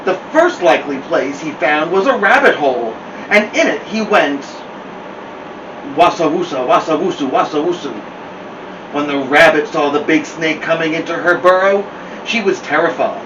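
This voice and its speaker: Higher-voiced man reading a children's story. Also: animated, moderate pace, dull audio. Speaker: storytime host and reader. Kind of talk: animated children's picture-book reading. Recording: roomy, distant mic, noisy hum.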